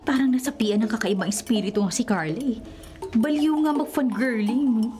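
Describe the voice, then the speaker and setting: A woman's voice: a little high, brisk, warm tone, soft background music, natural voice. A woman playing a gossipy, teasing best friend in a Filipino radio romantic comedy, sassy and slangy.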